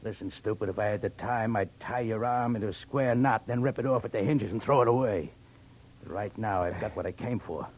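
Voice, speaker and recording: An actor playing a big, sneering, threatening thug with a gravelly voice in 1940s radio style. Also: male voice, lowish voice, a little fast, darkish tone. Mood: menacing, mocking. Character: big muscular menacing male thug, mocking bully. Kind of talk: 1940s radio crime drama acting. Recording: old radio recording, faint noise.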